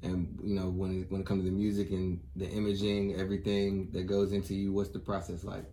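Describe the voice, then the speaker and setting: Deep, dark-voiced male co-host. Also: fairly quick, a little hiss or hum. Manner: relaxed, affirming. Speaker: interview co-host. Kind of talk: casual interview talk.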